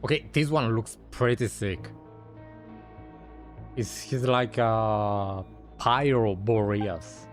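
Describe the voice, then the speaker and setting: Unhurried man reacting to a game. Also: on the low side. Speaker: video game streamer. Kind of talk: casual game reaction commentary. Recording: faint game music behind.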